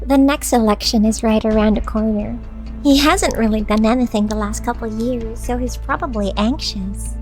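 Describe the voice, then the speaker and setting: A woman's voice: fairly high pitch, steady tone, normal speed, natural voice, rough recording. A woman playing a cynical, dismissive citizen commenting on politics in a game. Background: faint music.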